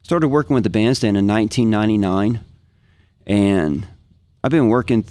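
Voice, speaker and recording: A man's voice: fairly low pitch, normal speed, darkish tone, no room sound, studio quality. A man from Kansas with an American accent, chatting casually and enthusiastically as a guest and reminiscing, often repeating phrases for emphasis. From Kansas, United States. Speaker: guest, professional guitarist. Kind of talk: casual podcast chat, reminiscing.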